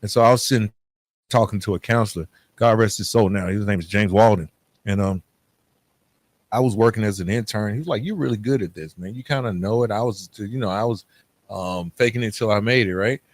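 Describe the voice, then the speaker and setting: A man's voice: on the low side, fairly quick, no echo. Middle-aged man storytelling, close dry sound. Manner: informal, conversational, acts out both sides of exchanges. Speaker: podcast guest telling his own story. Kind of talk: casual storytelling with re-enacted dialogue.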